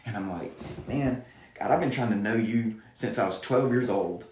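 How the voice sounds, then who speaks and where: A man's voice: lowish voice, moderate pace, darkish tone, roomy sound, slight background noise. A man with a Southern accent, a pastor speaking earnestly in a podcast interview and quoting scripture. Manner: earnest, preacherly, quotes scripture. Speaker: student pastor, guest.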